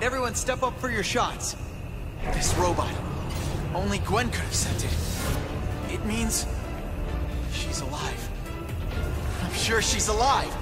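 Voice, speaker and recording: An actor playing an earnest, devoted young superhero with an American accent, in dramatic video game style. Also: male voice, high voice. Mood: relieved. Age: young adult. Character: young male superhero, earnest, devoted, wisecracking under pressure. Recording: loud music behind the voice. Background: loud game soundtrack music.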